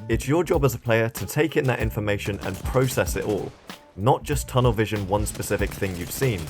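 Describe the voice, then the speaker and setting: Hoarse man with game music. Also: fairly low pitch. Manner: brisk and explanatory, with self-mocking asides. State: hoarse, croaky voice. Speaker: gaming YouTuber. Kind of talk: scripted tutorial voice-over.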